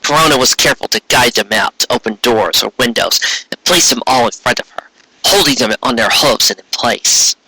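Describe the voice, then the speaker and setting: A man's voice: mid-range voice, fairly quick, bright, forceful tone, breathy edge, no room sound, poor audio. A man reading a scripted fantasy story aloud in dramatic narration, now and then stumbling over words. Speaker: narrator reading fan fiction.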